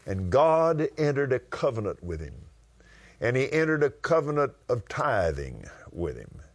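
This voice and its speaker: A man from West Texas with a West Texas accent, teaching the Bible in a conversational preaching style with drawn-out, emphatic phrasing. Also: medium pitch, big pitch swings.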